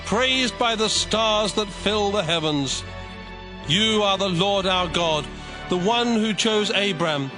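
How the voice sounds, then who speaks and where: An actor playing a devout Levite priest leading public prayer in a declamatory, reverent dramatized scripture reading. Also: male voice, sits high.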